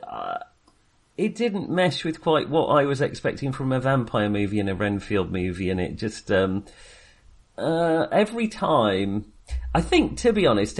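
A man's voice: on the low side, husky. A man co-hosting a film podcast in casual discussion, hesitantly circling back and qualifying his points. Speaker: film review podcast co-host.

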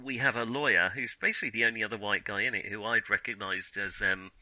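A middle-aged man in a casual unscripted film discussion as a podcast co-host, often halting and correcting himself mid-thought. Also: lowish voice, fairly even intonation, bright, forceful tone, no room sound, rough recording. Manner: halting, self-correcting, trailing restarts.

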